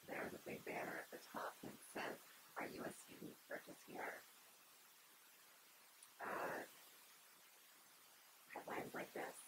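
A woman's voice: slow, pauses often, noisy background. A young woman giving a conversational keynote talk at a podium on an auditorium stage, speaking into the podium microphone with frequent fillers and restarts.

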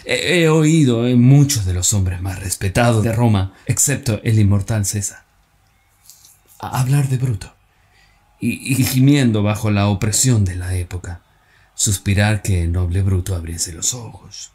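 A man's voice: fairly low pitch, a little mellow, husky. A man in his forties playing Cassius, a scheming, persuasive Roman senator, in an earnest, insistent theatrical recitation that stumbles now and then. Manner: earnest, insistent, slightly halting declamation. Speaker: actor performing a stage monologue. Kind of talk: theatrical radio-drama recitation.

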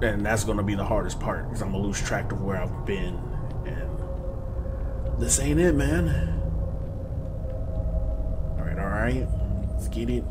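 A man in his twenties giving casual, slangy horror game commentary to his viewers in an animated, excitable way. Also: fairly low pitch, a little slow, pauses often, very noisy. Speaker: gaming video host. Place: small room at home, indoors.

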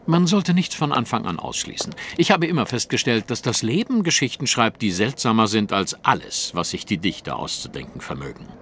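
A haughty, swooping detective. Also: male voice, mid-range voice, very animated, fairly quick, hardly any pauses, a bit bright, a little hiss or hum. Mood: analytical. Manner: precise, formal, lecturing. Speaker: voice actor in an audio drama. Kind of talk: German audio drama acting.

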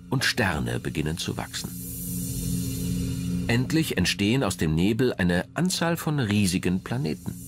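A man reading scripted documentary narration in a measured, explanatory manner. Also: low voice. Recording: music under the voice. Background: background music.

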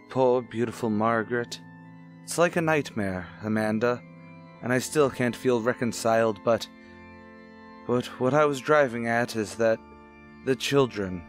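An actor playing a stern, brooding widower in an old-time radio drama, speaking formally and deliberately. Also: male voice, medium pitch, lightly acted. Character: stern, brooding widowed father with a morbid past.

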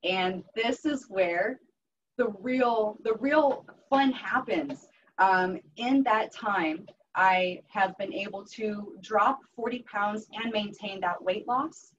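A woman's voice: medium pitch, slow. An upbeat woman in her thirties with a Western Pennsylvania accent, teaching a cooking class and talking with ums and restarts. She sounds a little far from the mic. The recording is dull and has a faint hiss under it. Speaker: health coach doing a cooking demo. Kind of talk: live cooking class talk.

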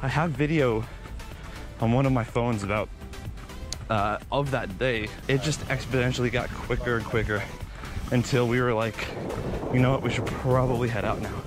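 A young man vlogging outdoors on a beach, telling animated stories while running, slightly out of breath, into a body-mounted camera. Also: medium pitch, moderate pace, music in the background. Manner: animated, excitable storytelling with sound effects. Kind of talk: casual storytelling while jogging. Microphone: body-mounted action camera.

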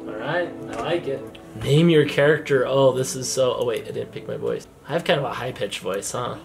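A man's voice: medium pitch, brisk, vocal fry, music in the background. A man in his thirties hosting a home vlog, chatting casually and joking while playing a game with his wife, indoors in a gaming room on a headset mic. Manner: playful, joking, self-mocking. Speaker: vlog host. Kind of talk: casual couple gaming chat.

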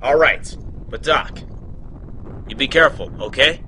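A man's voice: medium pitch, measured pace, bright, forceful tone, slight background noise. A man playing a determined, reassuring young hero in a game fan dub, plain-spoken. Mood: resolute, concerned.

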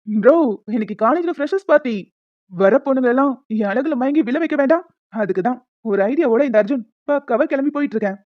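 Cocky young college guy, voiced by a woman, high-pitched. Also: expressive, fast, no echo, muffled recording. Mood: boastful. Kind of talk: cartoon story dialogue in colloquial Tamil slang.

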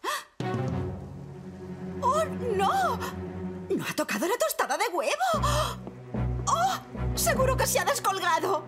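A woman's voice: extremely high pitch, wildly swooping pitch, slow, long pauses, exaggerated voice. A woman voicing a fussy, anxious, melodramatic mother in a children's cartoon dub, over music. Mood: alarmed, cross. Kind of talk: animated children's cartoon dubbing.

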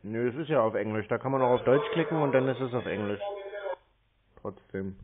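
A man's voice: medium pitch, animated, fairly quick, heavy background noise. A man in a casual phone conversation, talking as a customer over a tinny line. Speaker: scam-baiting show host posing as a customer on the phone.

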